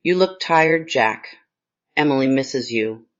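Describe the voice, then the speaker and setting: A man's voice: mid-range voice, expressive, takes it slowly. A synthetic male voice playing the tender hologram of a dead wife in a screenplay table read. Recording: dull, muffled lo-fi audio.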